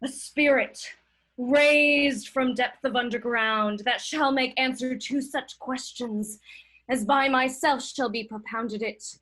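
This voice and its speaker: A high, slow female voice from an actress gives us a proud noblewoman in a Shakespearean stage reading. She is ambitious and defiant, weighing each line of verse as if casting a spell. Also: fairly even intonation, heavily acted, poor audio. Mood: commanding, ambitious. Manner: deliberate, incantatory, swinging between hushed and declaimed. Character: ambitious, defiant noblewoman conjuring spirits, a duke's wife.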